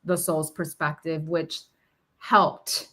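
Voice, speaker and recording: A woman's voice: deep voice, little pitch movement, takes it slowly, bright, forceful tone. A woman in candid, reflective conversation, thinking aloud emphatically in short, broken phrases. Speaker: podcast host sharing personal reflections on grief.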